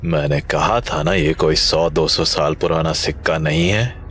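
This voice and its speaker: A man playing a calm, analytical investigator with a measured, thoughtful way of talking in an animated horror story. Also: a bass voice, slightly rough, full character voice. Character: calm, analytical male investigator of a cursed coin.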